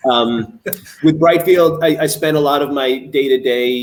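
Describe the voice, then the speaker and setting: A man's voice: fairly high pitch, poor audio. A man speaking as a data-science executive on a video-call panel, with repeated restarts. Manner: quick repetitive restarts.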